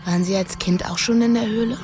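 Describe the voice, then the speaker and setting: A woman's voice: mid-range voice, fairly even intonation, fast, darkish tone, lightly acted. Actress as stubborn young female cave wanderer, questioning, terse, naturalistic radio drama, music behind. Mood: curious, hesitant. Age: young adult. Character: stubborn, curious young woman lost in a cave.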